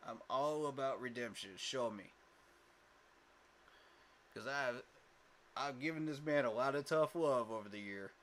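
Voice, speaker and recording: A man's voice: medium pitch, a little slow, pauses often, a bit bright, slight background noise. A man hosting a casual fan livestream, talking emphatically with lots of fillers and repeated words, tired from little sleep. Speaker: fan channel host.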